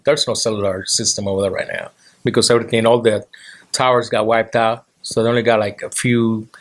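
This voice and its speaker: A man from Puerto Rico co-hosting a casual talk show, rambling and pausing on many "uh"s. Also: mid-range voice, a bit bright. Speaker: co-host. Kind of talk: casual radio show chat.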